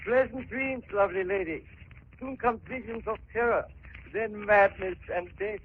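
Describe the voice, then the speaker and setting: A man's voice: high voice, lightly acted. A man playing sinister, silky gloating killer. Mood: gloating menace. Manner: slow, gloating, mock-courteous. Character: sinister, mockingly polite hatchet-gang killer. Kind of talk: 1940s radio melodrama acting. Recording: tinny, noisy 1940s radio recording.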